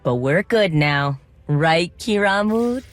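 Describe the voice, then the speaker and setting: Low-voiced, friendly female droid tinkerer. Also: animated, takes it slowly, no echo, faint music behind. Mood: friendly, upbeat. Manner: casual, conversational, reassuring. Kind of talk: video game voice acting.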